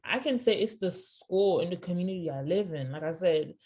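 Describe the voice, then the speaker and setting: A young woman with a lowish voice speaks over a webcam. She is emphatic, hammering her points home by repeating them. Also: lively intonation, fairly quick, warm tone. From Haiti. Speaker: guest. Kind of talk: casual conversation on a video call. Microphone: video call webcam.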